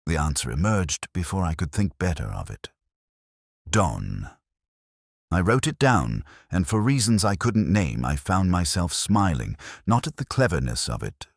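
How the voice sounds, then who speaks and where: A man reading scripted first-person mystery narration in a measured, literary, brooding manner. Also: very deep voice, no echo, studio quality.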